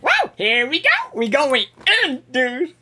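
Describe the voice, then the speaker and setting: A woman game streamer giving excitable, slangy reaction commentary as she plays. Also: high voice, a little slow, slight background noise. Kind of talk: reaction commentary, reading dialogue aloud.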